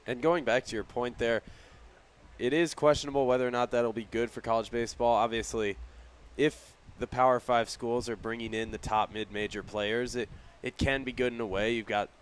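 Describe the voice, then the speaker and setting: Smooth, clear-voiced male baseball color commentator. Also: medium pitch, fairly even intonation, normal speed. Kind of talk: conversational live baseball analysis.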